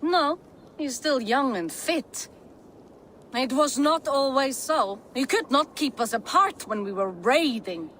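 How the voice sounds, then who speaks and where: A woman playing a bold, lusty Viking wife in a video game, eager for excitement. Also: high voice, slow pace, a little hiss or hum, exaggerated voice. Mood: wry, frustrated.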